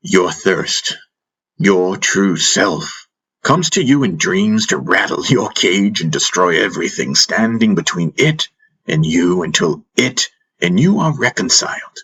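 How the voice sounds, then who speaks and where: A man voicing a wise spiritual master in an audiobook, teasing and patient, teaching through probing questions in a husky voice. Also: low-pitched, animated, unhurried, fairly bright tone, exaggerated voice. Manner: instructive, rhetorical questioning with prompting hums. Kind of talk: audiobook character dialogue.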